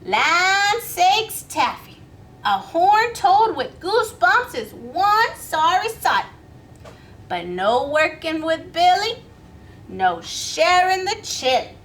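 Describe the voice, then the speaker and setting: A woman's voice: extremely high pitch, big pitch swings, slow pace, fairly bright tone, voice pushed up, exaggerated voice. A woman voicing a stubborn, easily miffed female armadillo cook in a storybook, with folksy, emphatic scolding. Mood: huffy, scolding. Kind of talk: animated children's storybook read-aloud.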